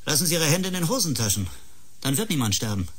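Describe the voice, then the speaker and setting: An actor playing a stern, exasperated male clinic doctor in a science-fiction radio drama, with a husky voice. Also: a little high, sing-song, quick pace.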